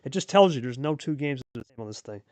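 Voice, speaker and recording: A man's voice: mid-range voice, animated, quick pace, a little mellow, no room sound. A man hosting and calling a card game match in a casual, chatty play-by-play style, repeating phrases for emphasis. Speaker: host and play-by-play commentator.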